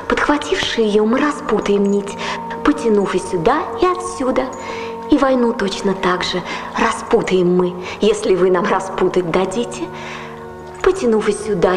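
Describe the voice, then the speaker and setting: A woman's voice: mid-range voice, close to an everyday voice. An actress playing a bold, persuasive ancient Greek woman leading a revolt, declaiming verse theatrically. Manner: declamatory, rhetorical. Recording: echoey hall sound with music behind.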